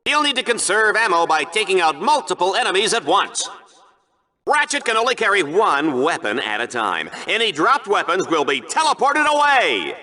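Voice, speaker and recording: An actor playing a smug, excitable TV arena commentator in a video game, with a pompous, theatrical announcer delivery. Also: male voice, extremely high pitch, heavily acted.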